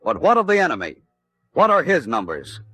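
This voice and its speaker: An actor playing an urgent, rhetorical war announcer in an old radio style. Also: male voice, on the high side, wildly swooping pitch, fairly quick, sharp tone, exaggerated voice. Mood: urgent, portentous. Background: music.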